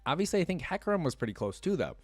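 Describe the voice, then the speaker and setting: A man's voice: fairly high pitch, sing-song, rapid-fire, no room sound. A man hosting a reaction video, casually reading lore aloud and dropping in his own comments, recorded close to the microphone. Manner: casual, interjects reactions between read passages.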